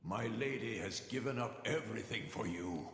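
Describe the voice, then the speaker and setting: An actor playing a solemn, loyal beast servant in a video game, formal, grave and reproachful. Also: male voice, lowish voice, normal speed, gravelly, fairly bright tone. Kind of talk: video game cutscene voice acting. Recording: echoey hall reverb with music behind.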